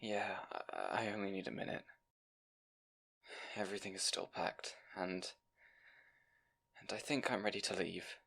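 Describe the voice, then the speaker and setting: A man playing a timid, self-doubting young scribe in an intimate fantasy audio roleplay, speaking in a nervous, halting, soft way. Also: low-pitched, steady tone, gravelly, breathy edge, full character voice. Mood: shy, relieved.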